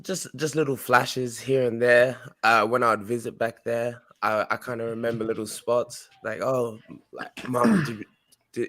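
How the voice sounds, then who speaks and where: Man, young adult, from Australia, interview guest, laid-back, rambling, middle pitch. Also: a bit bright. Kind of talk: casual interview.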